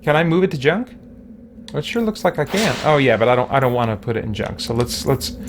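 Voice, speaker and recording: A man's voice: mid-range voice, fairly quick, noisy background. A middle-aged man livestreaming a game, chatting casually and rambling as he thinks aloud. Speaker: livestream host and gamer. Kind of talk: casual chat while playing a game.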